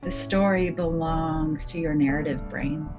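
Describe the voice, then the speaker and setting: Low-pitched woman guiding a meditation over music. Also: fairly even intonation. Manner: gentle, measured, soothing instruction with deliberate pauses. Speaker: clinical psychologist guiding a meditation. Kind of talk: guided meditation.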